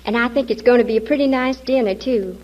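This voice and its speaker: A woman playing a kindly, reassuring homemaker in a gentle, homey way, in 1940s American radio comedy. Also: a little high, fast talker, lightly acted. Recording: dull old radio recording.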